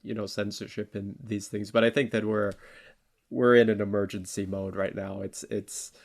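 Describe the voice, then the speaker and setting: A man co-hosting a podcast, discussing politics casually in a halting, informal way and often repeating words. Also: fairly low pitch, level tone, creaky. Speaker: podcast co-host debating politics.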